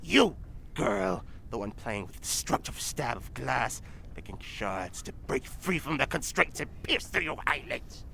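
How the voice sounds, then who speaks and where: A woman's voice: rumbling low, sing-song, measured pace, vocal fry, fairly bright tone, slight background noise, exaggerated voice. A woman playing a pompous, menacing old demon lady with florid, archaic speech in an audio drama. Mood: grandiose menace. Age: older.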